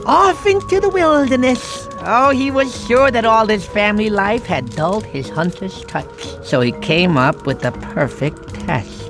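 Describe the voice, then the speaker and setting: A man's voice: high voice, lively intonation, a little slow, few pauses, music in the background. A man voicing a goofy, self-important cartoon sloth uncle with a slushy lisp, telling bedtime stories in a theatrical, boastful and whiny way for a video game. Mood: dramatic, proud. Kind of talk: animated game cutscene storytelling.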